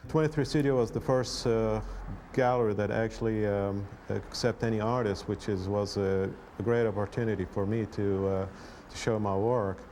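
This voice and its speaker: A man from Iraq, an artist, speaking haltingly in a documentary interview. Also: lowish voice, fairly even intonation, darkish tone, a little hiss or hum. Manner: hesitant, halting with fillers.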